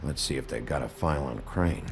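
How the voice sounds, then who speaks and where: An actor playing a gruff, weary, terse sheriff detective with a gravelly voice in a video game. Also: male voice, extremely low pitch, a little fast, heavy background noise. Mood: grim, musing. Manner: terse, blunt. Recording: music behind the voice.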